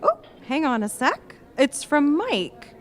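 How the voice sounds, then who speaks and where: A woman's voice: sits high, big pitch swings, slow, exaggerated voice. An actress playing a gossipy, scatterbrained young woman glued to social media in a live radio play. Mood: chatty, amused.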